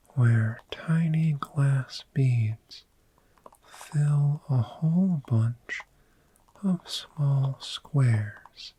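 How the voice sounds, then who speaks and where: A performer voicing a friendly, soothing dragon in an ASMR roleplay, speaking in a gentle, breathy whisper and lingering on repeated words. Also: medium pitch, slight background noise. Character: friendly soothing dragon therapist showing a cozy hoard.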